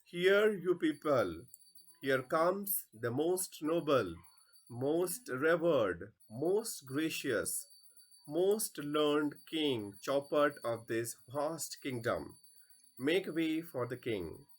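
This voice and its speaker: A man voicing a grand court herald in a stage play, proclaiming loudly and ceremoniously with drawn-out words. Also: on the high side, animated, husky, exaggerated voice, low-quality recording. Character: grand male court herald announcing a king.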